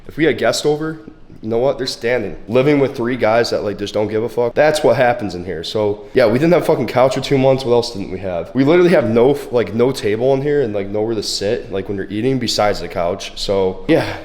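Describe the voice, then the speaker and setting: A young man in his twenties with a congested, slightly hoarse voice, chatting casually and profanely with lots of filler words in a fitness vlog. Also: mid-range voice, animated, brisk, a little mellow. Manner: laid-back, profane, full of "like" fillers. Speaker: fitness vlogger. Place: bedroom at home, then a gym.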